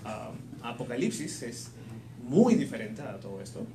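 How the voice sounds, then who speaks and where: A man's voice: mid-range voice, very animated, moderate pace, warm tone. Male sermon interpreter in a noisy, echoey room. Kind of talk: consecutive live interpretation of preaching. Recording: echoey room with clear background noise.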